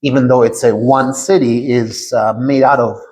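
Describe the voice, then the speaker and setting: A man's voice: medium pitch, fairly even intonation, normal speed, darkish tone. A man hosting a reflective conversational interview, affirming his guest and often checking in as he makes his points. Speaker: podcast host, interviewer.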